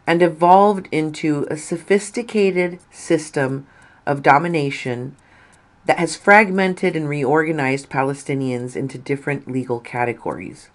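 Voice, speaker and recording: Slow, deliberate woman reading aloud. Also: low-pitched. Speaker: narrator reading an article. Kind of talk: scripted article narration.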